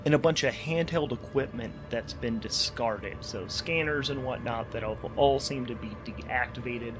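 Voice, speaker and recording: A man hosting a solo tabletop game, thinking aloud informally and correcting himself as he goes, with ambience behind him. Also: mid-range voice. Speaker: solo game player and podcast host.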